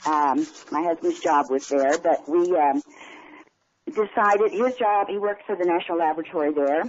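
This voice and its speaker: A woman's voice: on the low side, moderate pace. A woman in her sixties with an American Midwestern accent, telling her personal story casually as a caller on the telephone. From Kansas, United States. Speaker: caller, retired hairstylist. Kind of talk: casual personal account on a call. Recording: dull phone line, faint noise.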